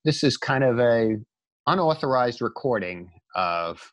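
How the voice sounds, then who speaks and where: A man's voice: medium pitch, animated, unhurried, no room sound, muffled recording. A man co-hosting a podcast, chatting casually and conversationally. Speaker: podcast co-host.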